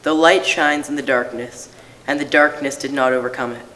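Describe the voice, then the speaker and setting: A man reads poetic liturgy from a script at a church service. His voice is middle in pitch and carries a long hall reverb. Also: steady tone, normal speed, pushed and bright, a little hiss or hum. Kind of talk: scripted poetic reading, shared among readers.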